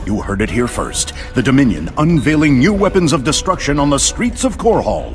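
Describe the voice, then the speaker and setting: Vain TV anchor parody for a game, male actor: pompous, very animated intonation. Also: medium pitch, brisk, soft background music, lightly acted. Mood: smug. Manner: pompous, theatrical broadcast delivery. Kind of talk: video game parody newscast.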